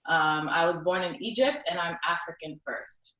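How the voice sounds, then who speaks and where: A woman's voice: lowish voice, moderate pace, fairly bright tone. A young woman from South Sudan giving an earnest personal talk at a symposium, with many ums. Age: young adult. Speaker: symposium speaker. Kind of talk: personal talk with recited poem. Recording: echoey room, distant mic.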